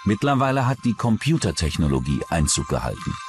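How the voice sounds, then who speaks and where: Male documentary narrator, low pitch, lively intonation, scripted true-crime storytelling, faint music underneath. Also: brisk.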